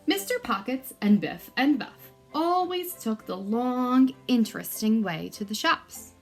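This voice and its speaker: Female storyteller for picture books: very animated intonation, unhurried pace, expressive emphasis. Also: fairly high pitch, soft background music. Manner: deliberate, dramatic emphasis for young listeners. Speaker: read-aloud storyteller. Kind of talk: expressive children's story reading.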